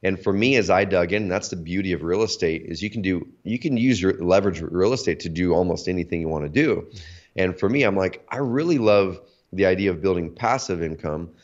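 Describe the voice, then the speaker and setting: Deep-voiced man, hoarse from allergies. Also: brisk. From Oregon, USA. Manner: energetic, talkative, lots of fillers. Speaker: guest, company CEO. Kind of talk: casual interview. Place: home office, indoors. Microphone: video call. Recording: slightly dull lo-fi video call audio.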